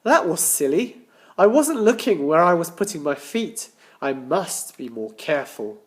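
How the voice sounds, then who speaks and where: A man's voice: a little high, sing-song, a little slow. A man voicing a plucky young girl climber who scolds herself in a storybook. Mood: pained, self-reproachful.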